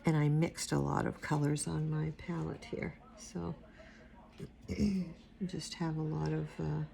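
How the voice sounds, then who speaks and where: An older woman with a quiet, soft-spoken voice giving a casual painting tutorial, rambling and trailing off into mumbles as she works. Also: deep voice, flat delivery, unhurried, gravelly. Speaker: watercolor instructor.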